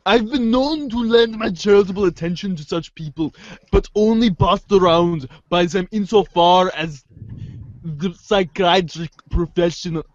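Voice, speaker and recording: A man's voice: high voice, no room sound, muffled recording, lightly acted. A man voicing a haughty, wordy teenage girl with pompous, florid phrasing in an amateur comic script reading. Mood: condescending. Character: haughty, verbose teenage girl, snarky intellectual.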